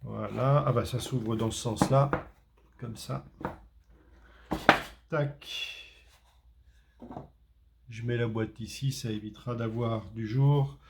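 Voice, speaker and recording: A man's voice: mid-range voice, fairly even intonation, takes it slowly, gravelly, long pauses, noisy background. A man from France doing a casual unboxing, talking hesitantly with many fillers and restarts. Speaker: tech reviewer.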